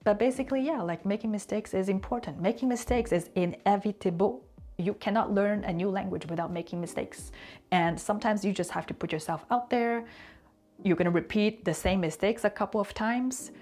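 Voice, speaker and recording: A woman whose first language is Chinese, giving an earnest, reflective talk on consistency and slowing down to stress key words. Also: medium pitch, darkish tone. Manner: conversational asides and fillers. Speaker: language and culture teacher.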